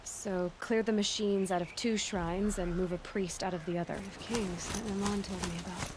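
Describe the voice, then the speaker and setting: A woman's voice: mid-range voice, steady tone, normal speed, noisy background, natural voice. A woman voicing a self-assured young female hunter in a video game, speaking in a direct, wry way. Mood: matter-of-fact.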